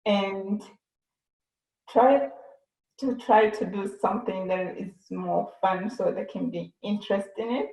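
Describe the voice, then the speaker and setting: A woman's voice: medium pitch, takes it slowly, gravelly, dark tone. A young woman from Burundi being interviewed on a video call. She speaks hesitantly and repeats words while she thinks. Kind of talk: casual conversation on a video call. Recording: echoey, dull video-call audio.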